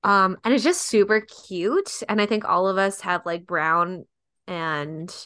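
Young woman guest on a podcast, smooth clear voice, says like and um a lot. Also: mid-range voice, unhurried, sharp tone, dry recording, clean studio sound. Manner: chatty, lots of likes and ums, laughs easily. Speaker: podcast guest, dance partner. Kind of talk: casual podcast chat.

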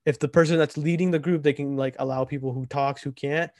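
Young male podcast host, 20, loose filler-heavy rambling, higher-pitched, dry and close-miked. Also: steady tone, quick pace, darkish tone, no echo. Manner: rambling, filler-heavy, keeps asking "right?". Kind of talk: casual podcast chat.

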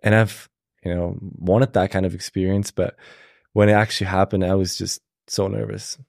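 A young man from Switzerland, a podcast guest, telling his story in a relaxed, halting way with many fillers. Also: low voice, gravelly, dry recording, studio quality. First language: Swiss German. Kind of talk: casual interview storytelling.

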